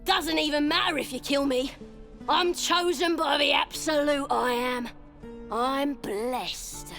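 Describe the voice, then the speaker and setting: A woman's voice: high voice, slow pace, creaky, sharp tone, faint music behind, full character voice. A woman voicing a defiant, fanatical goblin captive in a video game, taunting and zealous. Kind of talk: cinematic video game dialogue.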